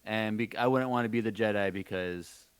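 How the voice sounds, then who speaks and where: Smooth, low-voiced man chatting on a podcast. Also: moderate pace, no room sound. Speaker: podcast co-host. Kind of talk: casual chat.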